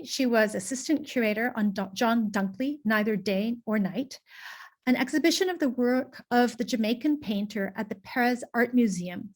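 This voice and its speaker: A woman chairing an academic panel, giving formal introductions in a halting way with frequent pauses. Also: medium pitch.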